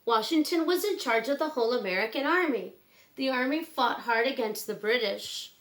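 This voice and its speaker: A woman reading a children's book aloud in a simple, measured storytelling manner with pauses. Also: fairly high pitch, animated.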